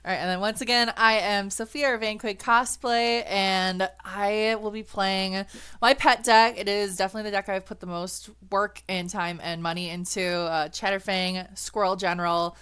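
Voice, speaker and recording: A woman hosting a casual video call, laughing often and prompting her guests warmly. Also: mid-range voice, fairly even intonation, normal speed, clear, resonant tone. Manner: giggly, warm prompting of guests. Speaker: host.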